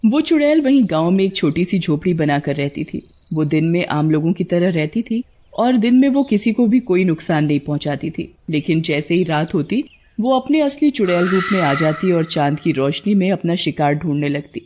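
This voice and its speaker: A narrator telling a scripted horror tale for an animated story. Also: medium pitch, moderate pace, dull audio, slight background noise.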